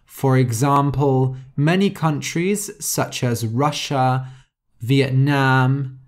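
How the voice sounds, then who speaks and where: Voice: medium pitch, darkish tone. A podcast host reading a simple story aloud for English learners, explaining words and spelling some out letter by letter.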